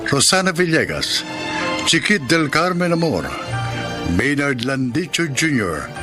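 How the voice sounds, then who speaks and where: A man with a Filipino accent announcing and narrating a radio drama in a dramatic classic radio style. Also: mid-range voice.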